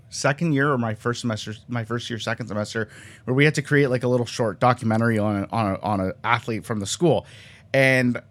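A man in his twenties from Canada, a guest on a podcast, talking in a quick, chatty way with lots of fillers. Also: medium pitch. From Canada, raised near Toronto; born in Colombia. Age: 20s. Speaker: podcast guest, sports cinematographer. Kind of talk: casual interview chat.

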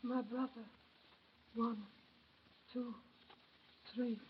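An actress playing a fevered, delirious young woman in a 1930s radio drama, chanting in a dazed monotone. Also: on the high side, very slow, slightly rough, highs cut off, full character voice.

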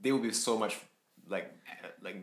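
A young man with a fairly low voice talks through a casual podcast chat. He stumbles through fillers and repeats words as he thinks, laughing often. The room around him rings with echo. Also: brisk. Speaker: podcast co-host. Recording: echoey room.

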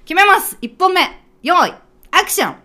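A woman co-hosting a podcast, bantering casually in an excitable, teasing, mock-bossy way. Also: extremely high pitch, moderate pace, faint music behind. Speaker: podcast co-host.

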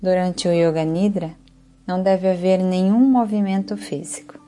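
A woman with a Brazilian Portuguese accent leads a guided yoga nidra relaxation as the instructor, speaking in a calm, soothing, unhurried way. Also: on the low side, faint music behind.

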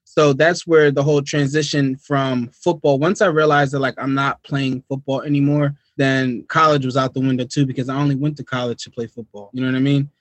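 A man's voice: medium pitch, little pitch movement, a little fast, few pauses, no echo. An American man from New Jersey telling his story as a podcast guest in a casual, slangy way. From United States, New Jersey. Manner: informal, slangy, repetitive check-in phrases. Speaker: podcast guest, real estate investor.

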